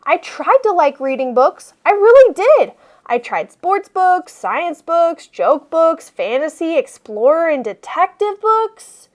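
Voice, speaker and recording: A woman reading a children's picture book aloud expressively, with deliberate phrasing for young listeners. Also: very high voice, sing-song, a little mellow. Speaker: read-aloud storyteller.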